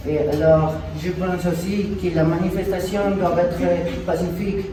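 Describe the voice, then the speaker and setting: A man playing a thoughtful, polite passer-by in an amateur street interview sketch, very halting and repeating words. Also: high voice, level tone, a crowd in the background, natural voice. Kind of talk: amateur classroom dramatisation of TV news. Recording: very echoey room, distant mic, loud noise.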